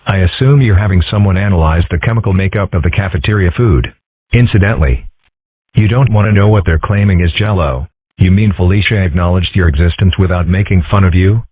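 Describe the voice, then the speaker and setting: A man voicing a calm, deadpan, philosophical young boy with formal wording in a cartoon comic dub. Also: low voice, a little fast, slightly rough. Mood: dry. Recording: tinny, phone-like audio.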